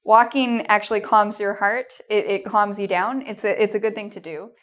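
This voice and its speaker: A woman's voice: medium pitch, fairly even intonation, moderate pace, a bit bright. A woman with a Canadian accent giving an English exam lesson, encouraging and reassuring, with frequent "um" and "okay?" checks. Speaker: English teacher. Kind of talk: video lesson with tips.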